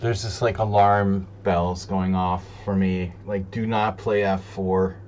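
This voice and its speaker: A man streaming chess, thinking aloud in casual, deliberating commentary, muttering to himself between pauses. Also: low-pitched, flat delivery. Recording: dull lo-fi sound, loud hum.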